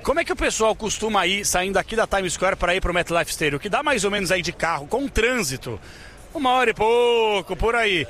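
A man's voice: high voice, expressive, fairly quick, bright, forceful tone. A Brazilian man working as a radio sports reporter, chatting informally and energetically in slang on a busy street. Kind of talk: lively street interview and live broadcast chat. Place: busy city street, outdoors. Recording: outdoor street noise.

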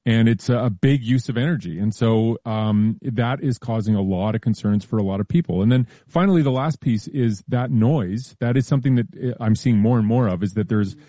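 Mellow low-voiced man explaining. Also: a little fast, few pauses, no echo, studio quality. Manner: relaxed, explains carefully with hedges and restarts. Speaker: science columnist. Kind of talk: casual explanatory chat.

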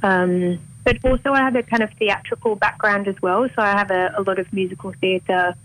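A woman with a mild north Welsh accent softened toward English, a singer talking openly and chattily as a radio interview guest. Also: mid-range voice, steady tone, a little fast, no echo, low-quality recording. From Wales. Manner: chatty and open, laughs easily.

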